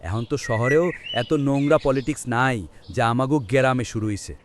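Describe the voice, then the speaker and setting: A man's voice: medium pitch, a little fast, noisy background, close to an everyday voice. An actor playing a disillusioned, plain-spoken village man in a cartoon, with a rural Bangladeshi accent. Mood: bitter. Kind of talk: cartoon drama dialogue.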